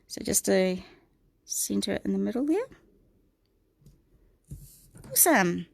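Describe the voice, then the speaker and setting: A middle-aged woman with a New Zealand accent, croaky this morning, chatting through a livestream craft tutorial and greeting viewers by name. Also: mid-range voice, very animated, slow, no room sound. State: croaky, clearing her throat.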